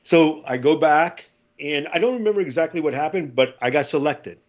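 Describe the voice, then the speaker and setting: A middle-aged former Marine, a native Spanish speaker, tells a long story on a podcast and acts out the dialogue in it. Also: male voice, fairly high pitch, brisk, lo-fi sound. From United States.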